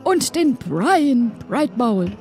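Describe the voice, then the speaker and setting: A woman playing an excitable, flustered female pirate crew member in an improvised audio play, talking theatrically with halting exclamations. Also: on the high side, heavily acted. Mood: excited, chatty. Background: background music.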